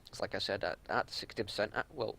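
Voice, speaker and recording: A man's voice: fairly low pitch, fast talker, vocal fry, sharp tone, a little hiss or hum. A man giving unscripted game analysis as a raid leader, explaining things in an exasperated, matter-of-fact way. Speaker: raid leader reviewing gameplay.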